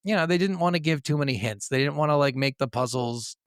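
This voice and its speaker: A man's voice: fairly high pitch, fast talker, smooth, dry recording, clean studio sound. A man co-hosting a podcast, chatting casually about games in an informal, conversational way. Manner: conversational, informal, says "like" often. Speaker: podcast co-host. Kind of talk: casual chat, game review.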